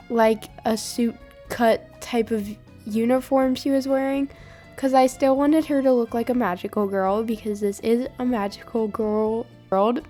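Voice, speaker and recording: Chatty young woman, hoarse voice. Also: fairly high pitch, mellow. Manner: chatty and upbeat, with filler "like"s. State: hoarse from a sinus infection. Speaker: art channel host. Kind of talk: voiceover over drawing footage.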